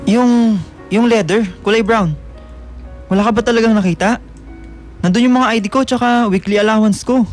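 A man's voice: sits high, steady tone, normal speed, pauses often, natural voice. A man playing an easygoing, somewhat evasive teenage schoolboy in a radio drama, talking casually with hesitations. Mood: worried. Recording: music bed behind the voice.

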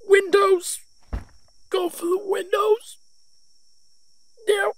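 A man playing an ancient, weakened vampire father in an audio roleplay, breathy and raspy, speaking in a halting, protective way. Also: very high-pitched, level tone, slight background noise, heavily acted. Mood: choking, desperate.

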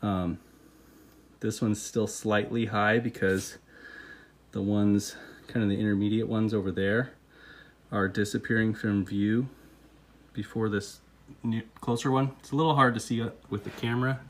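A man in his thirties calmly explaining a home renovation step by step, talking while he films on a phone. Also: lowish voice, normal speed, long pauses. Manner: relaxed, explains step by step with fillers. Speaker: DIY renovation teacher. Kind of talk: casual instructional talk. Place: indoors, first in a car, then a house under renovation. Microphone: phone camera, often held while working.

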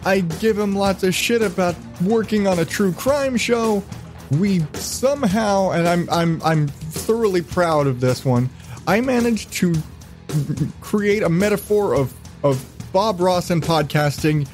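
A man's voice: high voice, lively intonation, a little slow, a little mellow, soft background music. A man hosting a podcast in casual, profane chat, rambling along with irreverent, self-mocking asides. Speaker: podcast host.

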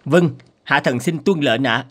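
A man's voice: medium pitch, measured pace, fairly bright tone, husky. An actor playing a deferential royal coachman in a fairy-tale cartoon, respectful, hesitant and anxious to please.

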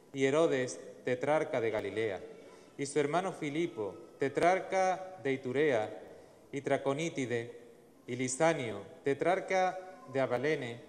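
Solemn priest in a reverberant church. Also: male voice, a little high, a little mellow. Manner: measured, solemn liturgical delivery. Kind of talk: Mass reading and homily. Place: large church in front of the altar. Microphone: stand mic at the lectern.

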